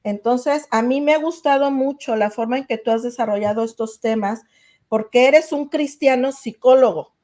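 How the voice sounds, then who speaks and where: A woman hosts a faith-based podcast interview in a fairly high, mellow voice. She talks effusively and gratefully, often pausing on "eh.". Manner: warm, effusive, grateful, with many "eh" fillers. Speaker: podcast host. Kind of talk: casual interview chat.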